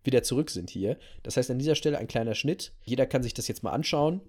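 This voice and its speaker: A man's voice: mid-range voice, animated, quick pace, a little mellow. A man hosting a talk show, telling a story in a casual, conversational way and repeating phrases for emphasis. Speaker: podcast host telling a story.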